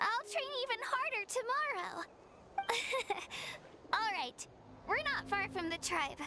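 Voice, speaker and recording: A woman's voice: very high voice, lively intonation, slow pace, heavy background noise, exaggerated voice. An actress voicing a sincere, shy young girl training hard as a warrior in a game, with music behind. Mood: earnest. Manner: sincere, shy, eager to please.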